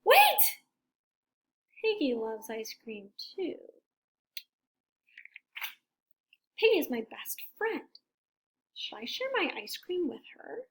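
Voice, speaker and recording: A woman voicing an excitable, agonizing male elephant in a storybook, with a dramatic, drawn-out delivery. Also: high voice, full character voice. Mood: torn. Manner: dramatic, drawn-out, wavering between glee and worry. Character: excitable, agonizing male elephant who loves ice cream.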